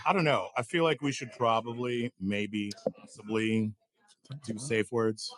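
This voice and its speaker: Low-pitched man, laid-back casual chat. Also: steady tone, unhurried, fairly bright tone, dry recording. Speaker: talk show co-host.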